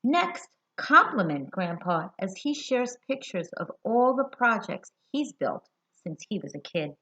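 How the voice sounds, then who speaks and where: Female storyteller, American, for a kids' read-aloud: very slow, clearly expressive delivery, bright tone, asks the listeners questions. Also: mid-range voice. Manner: expressive, deliberate, addresses young listeners with questions. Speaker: children's hospital educator reading a picture book. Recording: dull lo-fi sound.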